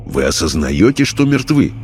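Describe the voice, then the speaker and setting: A man playing a calm, firm seance questioner in an audiobook, measured, grave and insistent. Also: low-pitched, slight background noise. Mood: patient, firm.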